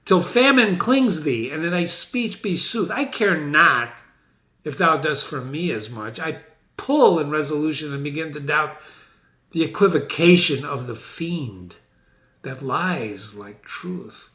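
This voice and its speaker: A man playing a proud, despairing, raging warlord king in a dramatic Shakespeare reading, with drawn-out, declamatory delivery. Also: fairly high pitch, animated, bright, forceful tone, full character voice. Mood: menacing, doubtful.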